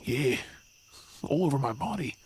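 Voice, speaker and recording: A man's voice: medium pitch, animated, normal speed, natural voice. A man playing an earnest, brooding werewolf, weary of hiding, in a supernatural ASMR audio drama. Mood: determined. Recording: faint noise with chirping night insects.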